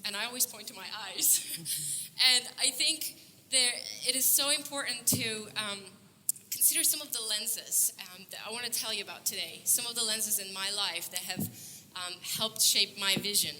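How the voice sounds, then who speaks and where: A woman's voice: mid-range voice, normal speed, bright, forceful tone, slight background noise, low-quality recording. A young woman from Bulgaria giving an upbeat stage talk at a conference, earnest, laughing often, with many fillers and restarts. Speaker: conference speaker. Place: conference stage before an audience.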